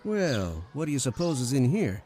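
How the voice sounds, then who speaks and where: A man's voice: medium pitch, big pitch swings, moderate pace, crowd noise, close to an everyday voice. An actor playing a breezy, blunt, wisecracking man in an old-time radio drama.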